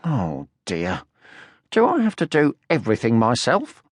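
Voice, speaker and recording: A man voicing a small, timid yet boastful young male creature in a children's storybook, whiny, excitable and self-important. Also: mid-range voice, a little slow, dry recording, studio quality. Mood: bossy. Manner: excitable, screechy, self-important muttering and squealing.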